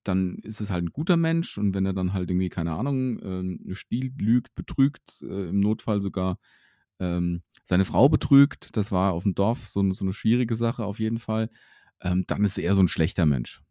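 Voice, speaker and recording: A man's voice: deep voice, animated, moderate pace, mellow, no echo, clean studio sound. A man talking casually and reflectively about his faith, with many fillers and restarts.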